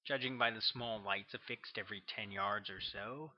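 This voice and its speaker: A man's voice: on the low side, dull audio. Man steadily narrating his own novel. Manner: steady, measured storytelling. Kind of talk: audiobook narration.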